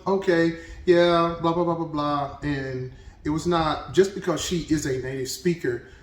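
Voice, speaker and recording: A man's voice: on the high side, roomy sound, recorded from a distance. A man hosting a reaction video, talking casually and thinking aloud with restarts.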